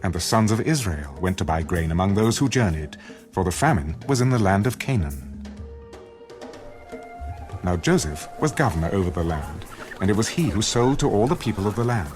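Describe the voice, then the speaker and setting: A low-voiced man narrates scripture as solemn audio theater. His delivery is very varied in pitch and scripted, with prominent music playing behind him. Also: sing-song, moderate pace. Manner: solemn storytelling. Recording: loud music bed.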